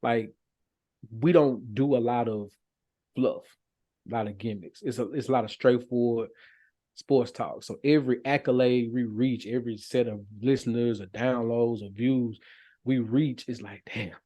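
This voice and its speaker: A man's voice: mid-range voice, steady tone, moderate pace, mellow. An American man in a casual chat as a podcast guest, speaking candidly and colloquially, often repeating his phrases.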